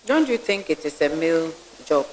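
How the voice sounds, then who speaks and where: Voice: mid-range voice, big pitch swings, normal speed, noisy background. A vetting panelist, asking blunt, probing questions and giving critical remarks. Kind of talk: interview questioning.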